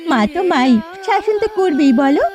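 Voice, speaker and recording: A woman voicing a meek, gentle young widowed daughter-in-law in a cartoon, plaintive and soft-spoken. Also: very high voice, expressive, normal speed. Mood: sorrowful, resigned. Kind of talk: Bengali cartoon fairy-tale acting.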